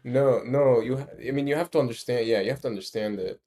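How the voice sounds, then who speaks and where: A man explaining his ideas in a casual discussion, halting and rephrasing emphatically as he goes. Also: medium pitch, dark tone. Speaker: speaker explaining a research ranking system.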